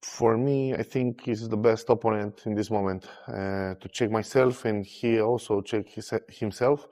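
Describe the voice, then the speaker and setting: A man in his thirties, an armwrestler, speaking in short, halting English in a pre-match interview. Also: lowish voice, fairly even intonation, a little mellow, long reverb tail. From Romania. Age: 30s.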